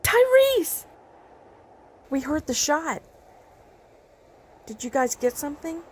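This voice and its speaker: A woman's voice: high-pitched, unhurried. A woman playing a worried, emotional mother in a motion comic, insistent and pleading. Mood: alarmed. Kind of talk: dramatized motion comic dialogue.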